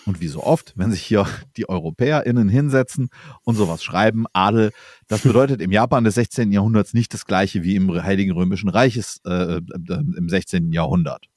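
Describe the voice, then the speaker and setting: A man's voice: on the low side, moderate pace, a little mellow, no room sound, clean studio sound. A German man co-hosting a casual history podcast, explaining chattily with fillers and restarts. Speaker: podcast co-host presenting the episode.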